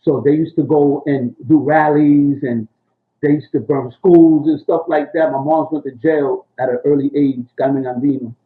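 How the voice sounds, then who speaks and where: A man's voice: a little high, steady tone, normal speed, narrow, tinny audio. A man in his sixties from Puerto Rico, telling his family history in a loose, rambling way, full of street slang and "you know what I'm saying.". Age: 60s. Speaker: interviewee, rapper. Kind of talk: casual oral history interview.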